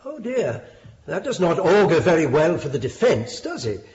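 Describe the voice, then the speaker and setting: A pompous, sardonic Victorian judge in a radio drama. His pitch is raised and hugely varied, his manner condescending, the sound a little dull. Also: very animated, darkish tone. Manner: drawn-out sarcasm, condescending.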